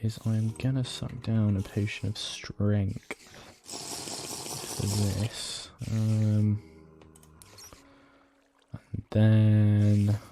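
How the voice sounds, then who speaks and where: A man with a croaky, weak voice, rambling hesitantly through casual gameplay commentary with many fillers and frequent throat clearing. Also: lowish voice, little pitch movement, very slow, pauses often, warm tone. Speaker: let's play gamer. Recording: noisy home recording.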